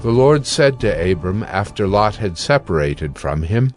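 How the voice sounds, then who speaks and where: A man narrating scripture as a scripted reading in a measured, solemn storytelling manner. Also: lowish voice, very animated, soft background music.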